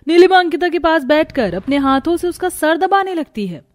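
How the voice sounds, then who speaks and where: A woman's voice: very high-pitched, sing-song, normal speed, no room sound, studio quality. A woman narrating a scripted moral story.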